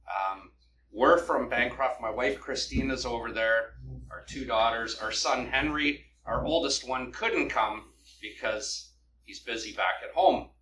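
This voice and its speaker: A man from Canada preaching, praying and reading scripture aloud with a loud open-air preaching voice, standing back from the microphone. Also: medium pitch, a little hiss or hum. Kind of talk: sermon with prayer and scripture reading. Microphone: microphone held back from the mouth. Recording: echoey hall, mic at a distance.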